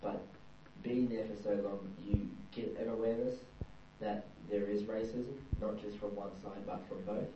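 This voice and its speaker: A man's voice: on the low side, little pitch movement, moderate pace. A young Australian man giving a thoughtful, searching personal account as an interviewee. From New South Wales, Australia. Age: young adult. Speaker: interviewee, performing arts student. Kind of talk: reflective personal testimony. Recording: distant, dull, roomy with faint hum.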